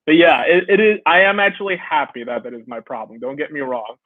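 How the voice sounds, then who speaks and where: A man's voice: a little high, brisk, dry recording. A young man from Brooklyn, chatting as a guest on a video call and laughing often as he tells stories. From Brooklyn, New York. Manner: laughs often, animated storytelling. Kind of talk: casual video-call interview. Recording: tinny phone-like dry sound.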